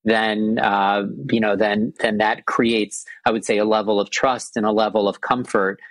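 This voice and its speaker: American guy in his 40s, lowish voice, dry close sound, earnest, on a video call. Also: little pitch movement, moderate pace, a bit bright, no room sound. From United States. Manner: earnest, repeats phrases for emphasis. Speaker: guest lawyer. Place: indoors, small room.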